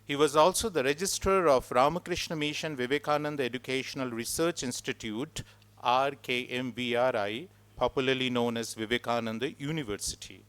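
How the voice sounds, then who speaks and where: A man, hosting a spiritual retreat and giving a loud, measured, ceremonious introduction of the guest speaker in an ashram hall. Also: medium pitch. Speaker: host of the retreat.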